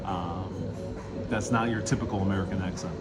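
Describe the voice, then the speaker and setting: A man's voice: deep voice, steady tone, fairly quick, loud music behind the voice. A man from Queens whose first language is Spanish, with a Queens New York accent, chatting casually on a livestream and reading out viewer comments. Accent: Queens New York, with Spanish-speaking influence. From Queens, New York City. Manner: chatty, loose, many ums and uhs. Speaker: livestream host. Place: busy food hall.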